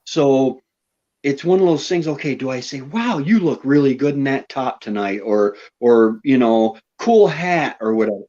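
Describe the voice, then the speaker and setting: A man's voice: medium pitch, expressive, moderate pace, dark tone. Easygoing man, muffled phone audio. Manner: easygoing, repeats words as he thinks. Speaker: homestead live-stream host. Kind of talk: casual live-stream chat.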